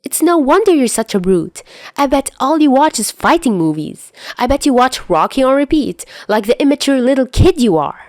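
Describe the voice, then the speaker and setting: A woman's voice: high-pitched, sing-song, moderate pace, a little mellow, no room sound, voice pushed up. A woman voicing a smug, fussy teenage boy who teases and condescends in an audio story. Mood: haughty bickering. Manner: teasing, condescending.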